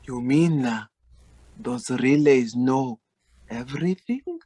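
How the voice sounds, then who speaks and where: A man's voice: mid-range voice, expressive, slow pace, warm tone. An actor playing a meek, flustered father in a radio play, stammering and apologetic as he talks. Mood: curious, unsure. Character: meek, flustered immigrant father shopping with his brat.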